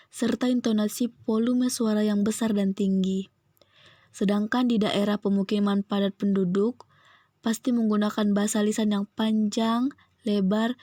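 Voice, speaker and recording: A young woman reads out a student presentation in a methodical way. Her voice is mellow and stays fairly flat in pitch. The sound is dry and close, with very little room. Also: no room sound. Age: young adult. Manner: methodical, enumerating points. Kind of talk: scripted educational presentation.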